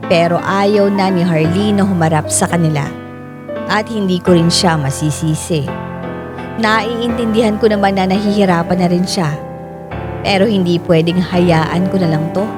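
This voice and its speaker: A man's voice: sits high, moderate pace, close to an everyday voice. An actor playing a troubled young boyfriend, narrating his own dilemma earnestly and reflectively in a radio drama. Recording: music bed under narration.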